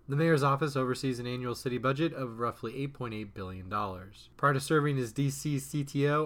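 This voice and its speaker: A man's voice: medium pitch, a bit bright. A man reading a scripted podcast introduction in a polished way. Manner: brisk, polished read. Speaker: podcast announcer.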